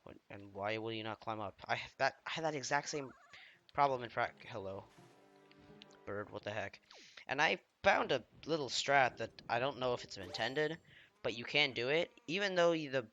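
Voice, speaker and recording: A man with a slightly hoarse voice from feeling unwell, giving rambling, self-deprecating commentary while he plays a video game. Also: medium pitch, lively intonation, normal speed, sharp tone, highs cut off. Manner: rambling, self-deprecating, trailing off mid-sentence. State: slightly hoarse, under the weather. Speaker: let's play gamer. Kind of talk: casual gameplay commentary.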